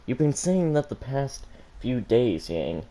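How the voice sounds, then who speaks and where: An earnest teenage girl from a story, played by a man, concerned and gently questioning. The tone is very mellow and rounded. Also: medium pitch, sing-song, slow pace.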